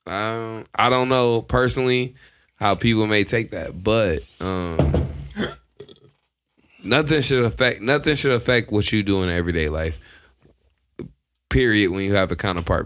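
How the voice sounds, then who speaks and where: Man, 20s, podcast co-host: strong, bright voice, a bit low, dominates the conversation. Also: smooth. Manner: forceful, holds the floor, argues his point. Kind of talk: casual chat.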